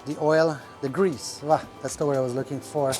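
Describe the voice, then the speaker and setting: Man talking shop, halting. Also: fairly high pitch, steady tone, music in the background. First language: French. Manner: rambling, many fillers, searches for words. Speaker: restaurant owner. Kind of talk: casual podcast talk. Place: restaurant kitchen.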